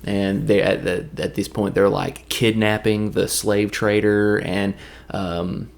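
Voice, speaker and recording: A man with a Southern Tennessee drawl talks through a film in a casual, wandering way. His voice sits a little low and has a gravelly, fry-edged texture. He keeps the tone light and joking. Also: little pitch movement. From Tennessee, USA. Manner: loose, jokey, drifts into quick impressions. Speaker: movie reviewer. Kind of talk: casual rambling movie recap.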